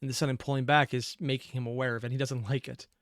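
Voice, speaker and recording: A man's voice: mid-range voice, little pitch movement, very fast, fairly bright tone. A man hosting a reaction video, giving informal, opinionated, analytical commentary off the cuff.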